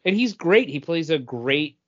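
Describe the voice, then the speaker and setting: A man's voice: a little high, expressive, a little slow. Man chatting casually, slightly muffled recording. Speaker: podcast co-host presenting news. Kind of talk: casual chat about entertainment news. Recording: dull lo-fi sound.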